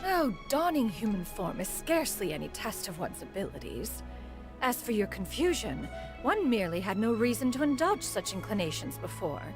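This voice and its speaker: A woman's voice: mid-range voice, sing-song, normal speed, over music. A woman voicing a proud, haughty immortal bird spirit in human form for a video game, speaking in a formal, lofty, archaic way. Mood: lofty, composed.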